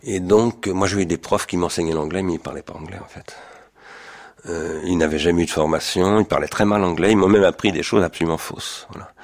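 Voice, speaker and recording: Older male interviewee, very low and creaky, reminiscing with halting, self-correcting delivery. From France. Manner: hesitant, searching for words, self-correcting. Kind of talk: autobiographical recollection in an interview.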